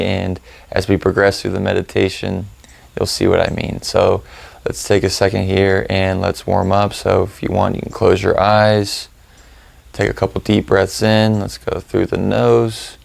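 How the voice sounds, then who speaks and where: A man leading a guided meditation, giving gentle, informal instructions with unhurried pauses. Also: sits low, monotone, vocal fry, no room sound.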